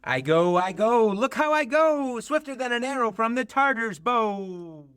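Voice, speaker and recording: A man's voice: extremely high pitch, lively intonation, measured pace, a bit bright, clear, resonant tone, no echo, studio quality, heavily acted. A teenage man playing an eager, mischievous fairy servant in an amateur Shakespeare radio play, speaking verse playfully. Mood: gleeful, eager. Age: late teens.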